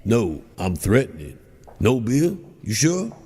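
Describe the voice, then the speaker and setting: An actor playing a crude, grumbling, reluctant hero in a cartoon, sarcastic and brash. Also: male voice, fairly low pitch, huge pitch swings, takes it slowly, darkish tone, breathy edge, heavily acted. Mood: blustering, stalling.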